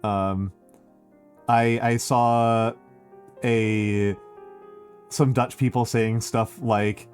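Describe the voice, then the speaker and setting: A man's voice: medium pitch, darkish tone. An American man chatting casually on a livestream, reading out viewer messages and thanking them, rambling with many fillers and repeated phrases. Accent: American English. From United States. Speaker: streamer.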